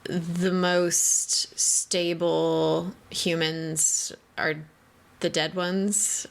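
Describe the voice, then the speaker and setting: A woman hosting a casual, reflective podcast chat, thinking aloud in halting, slangy phrases. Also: on the low side, level tone, gravelly. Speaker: podcast host.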